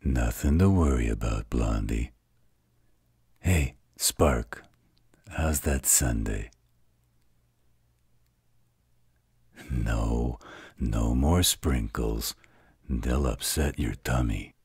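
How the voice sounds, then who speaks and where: Man voicing a very deep, husky gruff werewolf. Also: takes it slowly, darkish tone, dry recording, clean studio sound, heavily acted. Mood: gruff, caring. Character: gruff, protective male werewolf mate and father figure. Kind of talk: roleplay audio drama acting.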